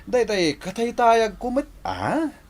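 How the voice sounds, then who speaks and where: An actor playing a vain, teasing, choosy bachelor son in an Ilocano radio drama, boastful and quick to laugh. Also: male voice, very high voice, huge pitch swings, full character voice. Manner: boastful, laughing, swinging delivery.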